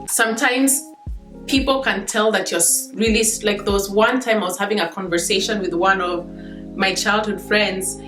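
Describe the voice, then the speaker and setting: A woman's voice: mid-range voice, steady tone, normal speed, fairly bright tone. A woman from Kenya in her thirties with a Kenyan accent, chatting to camera in a casual, filler-heavy way, laughing easily. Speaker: vlogger. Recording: echoey room with faint guitar music.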